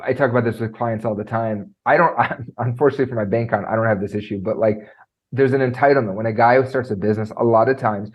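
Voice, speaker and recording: A man coaching on presentation skills, talking in an animated way and filling his speech with "like" and "right?"; he has a trained high singing voice. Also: quick pace, lo-fi sound. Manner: animated, lots of fillers like "like" and "right?". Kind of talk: conversational podcast interview.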